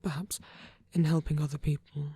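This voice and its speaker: A performer voicing a gentle, shy, protective male vampire priest in an intimate ASMR roleplay, soft and breathy, speaking in hesitant, tender phrases. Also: mid-range voice, flat delivery, moderate pace, no echo. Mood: hesitant, hopeful.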